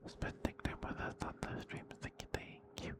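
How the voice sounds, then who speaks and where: A man hosting an ASMR livestream, speaking softly and intimately and slipping into whispers. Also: normal speed.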